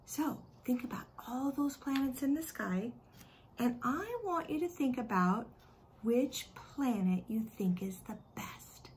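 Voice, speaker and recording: Kindergarten teacher, American woman in middle age, simple expressive explaining, home recording with slight background noise. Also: on the high side. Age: middle-aged. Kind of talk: read-aloud and chat to young children. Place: living room at home.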